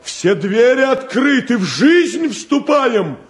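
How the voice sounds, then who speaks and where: A man's voice: very high-pitched, sing-song, slow, exaggerated voice. A man acting a blunt, indignant, principled young man in a Soviet radio drama, accusing and emphatic. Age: young adult.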